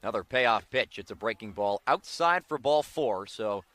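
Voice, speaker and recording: An American man doing live play-by-play baseball commentary on the radio, with excited calls on big plays. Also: lowish voice, normal speed, dry recording.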